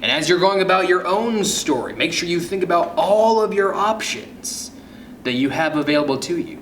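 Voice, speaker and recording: A man reading a children's story aloud as host, with suspenseful pauses and hushed drops in his delivery. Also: high voice, animated. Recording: roomy echo, faint background noise.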